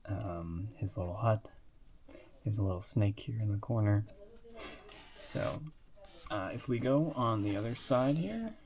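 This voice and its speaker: A man's voice: on the low side, expressive, a little slow, long pauses, a little mellow. A sick, sniffly man casually showing off toy sets in a rambling way, with lots of fillers and asides. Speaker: hobbyist reviewing toy building sets. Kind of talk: casual show-and-tell.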